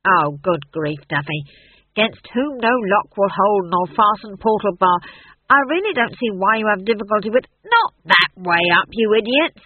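A man's voice: high-pitched, animated, moderate pace, no echo. A man voicing an irritated playwright scolding his actors in a fantasy audiobook. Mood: exasperated. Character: exasperated, bossy male playwright scolding actors. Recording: narrow, tinny phone-like sound.

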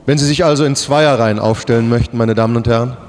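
An actor playing a solemn bank president in a radio drama, giving a stately, formal ceremonial speech. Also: male voice, medium pitch, fairly even intonation, fast talker, darkish tone, slight background noise, lightly acted. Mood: formal, businesslike. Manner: oratorical, stately, addresses audience formally.

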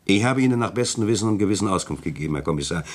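Deep-voiced, courteous village notary. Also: male voice, expressive, rapid-fire. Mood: formally defensive. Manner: polite, formal, lawyerly wording. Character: courteous, talkative village notary, discreet yet gossipy. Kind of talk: German radio play acting.

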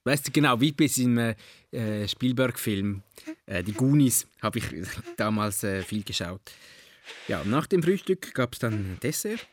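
A man's voice: mid-range voice, animated, unhurried, no echo. A man with a Swiss German accent tells an anecdote casually, conversationally searching for words and adding tag questions.

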